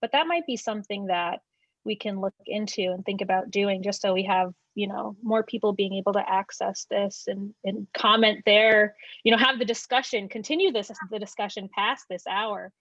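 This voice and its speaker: A woman's voice: medium pitch, fairly even intonation, normal speed, fairly bright tone, dry recording. Friendly woman on a muffled call. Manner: friendly, hesitant, lots of "um" and "like". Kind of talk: casual discussion on a video call. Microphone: video call. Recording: muffled dry call audio.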